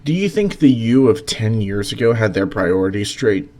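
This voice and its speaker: Male co-host, fairly low voice, casual conversation, blunt, informal delivery. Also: sing-song, normal speed, dark tone. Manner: blunt, informal, swears freely. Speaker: podcast co-host.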